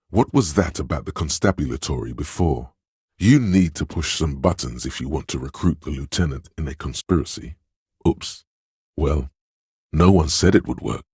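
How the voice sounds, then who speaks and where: Manipulative inner persuader, game character, rock-bottom pitch, very breathy rasp, measured, insinuating, coaxing. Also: male voice, a little mellow, no echo, studio quality, heavily acted. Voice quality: very deep, husky, breathy. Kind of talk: scripted video game voice acting.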